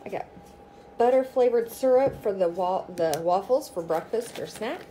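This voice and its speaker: A woman's voice: medium pitch, animated, moderate pace, mellow, low-quality recording. A woman in her thirties casually chatting through her grocery haul, naming each item as she unpacks it. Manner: chatty, itemizing each product. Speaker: vlogger. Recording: noticeable background noise. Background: rustling bags and crinkling packaging.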